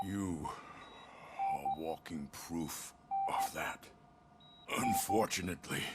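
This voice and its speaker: A man playing a frail, ailing old company chief in a video game, weary, wistful and halting. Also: low-pitched, heavy background noise, full character voice. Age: older. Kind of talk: video game cutscene acting.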